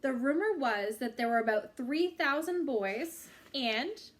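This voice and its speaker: A woman reading a book chapter aloud in a measured, expressive storytelling style with drawn-out phrases. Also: sits high.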